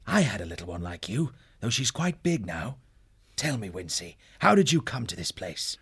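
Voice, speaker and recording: A man playing a kindly, steady, fatherly animal bellmaker with a husky voice in a fantasy audiobook. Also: lowish voice, moderate pace, dry recording, clean studio sound, exaggerated voice. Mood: kindly, reassuring. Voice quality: husky, gravelly. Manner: calm, reassuring.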